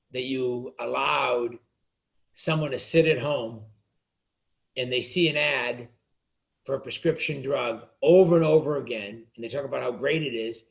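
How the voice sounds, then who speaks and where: A man's voice: medium pitch, muffled recording. A man being interviewed as an author, speaking indignantly and often restarting his sentences. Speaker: author and guest. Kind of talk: interview conversation.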